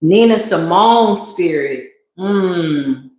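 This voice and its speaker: A woman's voice: lowish voice, animated, extremely slow, darkish tone. A woman hosting a casual livestream tarot reading, slangy and exclamatory, talking straight to her viewers. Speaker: spiritual reader and livestream host. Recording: very echoey room.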